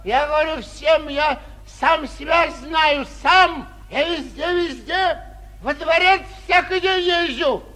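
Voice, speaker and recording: High-voiced tipsy young braggart. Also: male voice, bright, forceful tone, full character voice. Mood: carried away. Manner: drawn-out bragging with sudden swells and drops. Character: boastful young tipsy male braggart from the capital, getting carried away. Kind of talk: 1940s theatrical radio acting.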